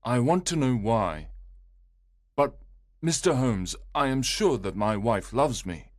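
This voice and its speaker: A man's voice: medium pitch, very animated, slow pace, dry recording, clean studio sound, pitched above the performer's own voice. A man voicing an upset, earnest male visitor telling his story in a read-aloud tale.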